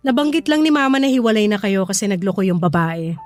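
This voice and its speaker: A woman's voice: medium pitch, lively intonation, fast talker, close to an everyday voice. An actress playing a guarded young woman facing her old flame in a Filipino radio drama. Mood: sympathetic, reserved. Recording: music bed under the voice.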